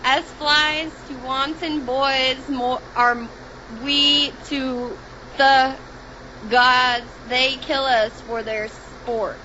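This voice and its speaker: A young woman in her twenties with a high, bright voice, talking slowly and breaking into giggles as she stumbles through a reading. The recording is dull and lo-fi with a faint hiss. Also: fairly even intonation. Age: 20s. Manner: giggly, reads haltingly with frequent laughs. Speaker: podcast co-host. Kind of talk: casual chat, reading aloud.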